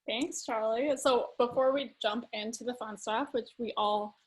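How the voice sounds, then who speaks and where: A young woman hosts a virtual wine tasting, friendly and chatty. Her voice sits a touch high, and she talks with easy fillers. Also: fairly even intonation, measured pace, poor audio. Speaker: host.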